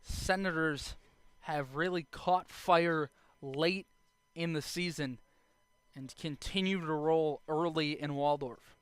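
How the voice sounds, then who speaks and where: A young adult man doing live baseball play-by-play as the announcer, speaking in short clipped phrases with measured pauses. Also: fairly high pitch, smooth, no room sound. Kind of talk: live sports play-by-play commentary.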